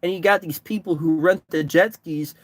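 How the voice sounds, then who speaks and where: A man's voice: on the high side, normal speed, no echo. A man chatting on a livestream from his parked car, tired and sunburned, rambling and repeating himself. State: exhausted, sunburned. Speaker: livestreamer, distance swimmer. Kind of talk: casual livestream chat reading comments.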